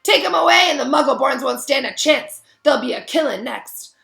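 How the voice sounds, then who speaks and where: A woman voicing a huge, rough-spoken half-giant gamekeeper who shouts and pleads in high, loud bursts. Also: lively intonation, brisk, faint music behind, exaggerated voice. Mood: furious. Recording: echoey room.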